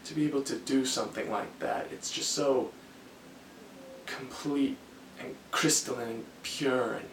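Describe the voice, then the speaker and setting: A man acting out a haunted army officer in a film monologue, brooding and philosophical. He speaks very slowly and haltingly, with a gravelly creak. The sound is echoey, as if caught across a room. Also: medium pitch, close to an everyday voice. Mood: awed. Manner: halting, deliberate, with long pauses and repeated phrases. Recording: echoey room, distant mic, faint noise.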